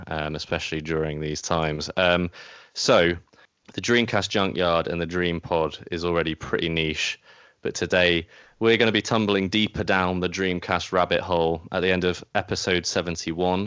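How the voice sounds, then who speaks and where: A man's voice: low-pitched, fairly bright tone, clean tone, no room sound, dull audio. A man hosting a podcast in a casual, chatty way. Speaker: podcast host. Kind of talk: casual podcast chat and introduction.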